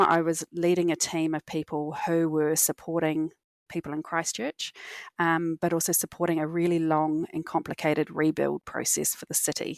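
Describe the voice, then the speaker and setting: Kiwi woman guest on a podcast, low voice w lots of fry, chatting thru her work. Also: no echo. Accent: New Zealand. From New Zealand. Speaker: podcast guest, cognitive scientist and company leader. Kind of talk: conversational interview explanation.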